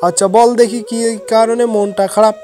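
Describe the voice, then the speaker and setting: Casting: performer as fussy, fearful village father for animation, repetitive scolding, clear music backing. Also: mid-range voice, flat delivery, a little fast, close to an everyday voice. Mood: concerned. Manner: repetitive, rustic scolding talk. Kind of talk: amateur cartoon voice acting. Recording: loud music behind the voice.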